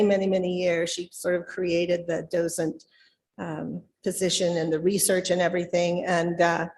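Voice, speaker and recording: A woman's voice: fairly low pitch, a little mellow. A middle-aged or older woman leading a church service, addressing the congregation in a large auditorium. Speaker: service leader. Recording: long hall reverb.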